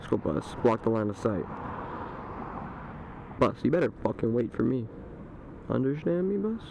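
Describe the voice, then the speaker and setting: A man's voice: medium pitch, big pitch swings, moderate pace, darkish tone, low-quality recording. A man casually rambling in slangy, sweary ride commentary as he vlogs from a scooter outdoors. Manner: slangy, heavy swearing, says "bro" often. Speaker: vlogger riding an electric scooter. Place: outdoors on a street, riding a scooter. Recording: outdoor street recording with clear vehicle noise.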